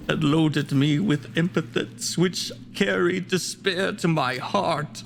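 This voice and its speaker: A wretched, vengeful monster delivers a theatrical monologue. The voice is high for a man and creaks with gravel, and each phrase comes slowly, set apart by heavy pauses. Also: exaggerated voice. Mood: grim, exultant. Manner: slow, deliberate, literary delivery with heavy pauses. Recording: faint background noise, home video-call performance.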